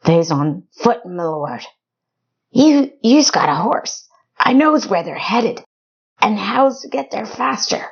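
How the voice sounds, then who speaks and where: A woman's voice: mid-range voice, expressive, slow, creaky, breathy edge, dull audio, pitched above the performer's own voice, heavily acted. A woman voicing a frightened, pleading male tracker with stammering, folksy, ungrammatical speech in a storybook reading. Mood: sly, eager.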